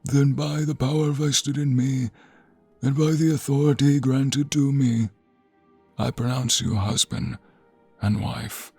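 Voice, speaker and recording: A man playing a solemn archbishop in a fantasy audio drama, with a husky, creaky voice and measured, ceremonial phrasing. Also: mid-range voice, steady tone, a little mellow, faint music behind, heavily acted. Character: solemn old-fashioned archbishop officiating a royal wedding. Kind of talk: fantasy audio roleplay acting.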